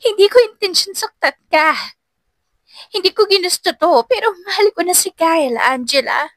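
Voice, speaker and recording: A defiant young woman played by a female narrator in an audio love drama. She sounds angry and defensive, very high and bright. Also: lively intonation, moderate pace, no room sound.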